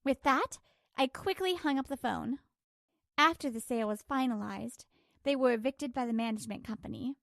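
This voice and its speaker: A woman's voice: high-pitched, moderate pace, vocal fry, a bit bright, no room sound, studio quality. A woman reading a scripted first-person drama story as its narrator.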